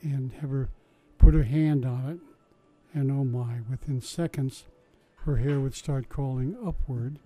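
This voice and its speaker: An older man reminisces in a relaxed interview, his voice very dark and mellow. He tells his stories in a chatty, wry way, often restarting mid-sentence and dropping small asides. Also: medium pitch, a little slow, hardly any pauses, faint music behind, low-quality recording. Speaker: interviewee recalling his science show work. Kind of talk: casual oral-history storytelling.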